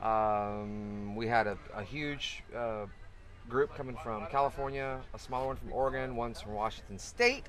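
A man's voice: mid-range voice, normal speed, a bit bright. A middle-aged man organizing a fishing tournament, talking enthusiastically in a rambling way with frequent restarts, recorded outdoors on a beach. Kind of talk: casual interview talk. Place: outdoors on a beach, under a cart canopy.

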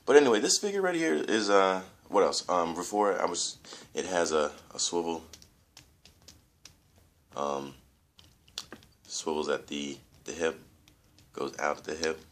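A young man with an extremely low, deep voice, stuffed up and congested from allergies. He rambles loosely through a home toy review, full of fillers, and keeps calling his viewers "guys.". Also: lively intonation, unhurried, long pauses. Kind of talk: casual toy review vlog. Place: room at home, indoors.

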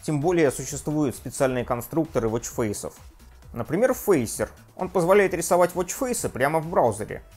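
Lively Russian-speaking tech vlogger man. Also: on the high side, normal speed, soft background music. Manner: lively, self-mocking, trips on pronunciation. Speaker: tech video host. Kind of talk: scripted tech review vlog. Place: home room with desk and gear.

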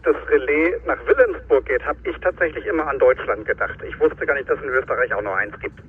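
A man's voice: medium pitch, a little fast. A man from Germany with a German accent, chatting casually as a visiting radio hobbyist. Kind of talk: casual chat. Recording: slightly muffled outdoor audio with faint noise.

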